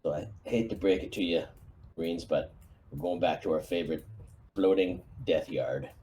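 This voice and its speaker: A man speaking as a squad commander in a tabletop roleplaying game, briefing a plan in a halting, thinking-aloud way. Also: low voice, warm tone, a little hiss or hum. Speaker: player acting as squad commander.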